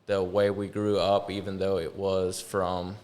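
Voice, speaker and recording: A man's voice: low voice, completely flat delivery, measured pace, darkish tone. A man. He is a business owner being interviewed, and he explains his work in a casual, conversational way. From Arkansas, USA. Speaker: shed company co-owner, interview guest.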